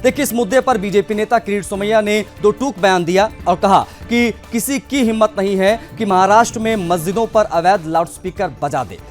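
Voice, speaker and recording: A man's voice: sits high, brisk, hardly any pauses, soft background music. A man anchoring a news broadcast, presenting reports and putting questions to a correspondent.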